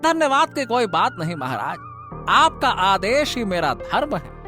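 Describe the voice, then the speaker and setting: A man playing a scheming, flattering royal minister in an animated folk tale, with an oily, deferential, theatrical delivery. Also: very high voice, a little slow, faint music behind, heavily acted. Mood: fawning, obliging. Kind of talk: animated Hindi moral-story voice acting.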